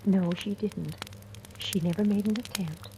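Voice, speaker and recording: An actress playing a kindly, knowing older cook in a 1940s style radio drama, speaking in a gentle, grave, measured way. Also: female voice, medium pitch, natural voice. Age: middle-aged or older. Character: kindly older woman cook, former schoolteacher, quietly knowing. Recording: noisy vintage radio recording with clicks.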